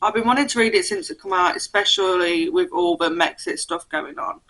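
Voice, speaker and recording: A young woman talks casually through her book haul in a bright, forward voice. She is croaky from a cold and sometimes stumbles over names as she reads. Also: fairly low pitch, fairly quick, low-quality recording. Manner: chatty, reads blurbs aloud, stumbles on names. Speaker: book vlogger.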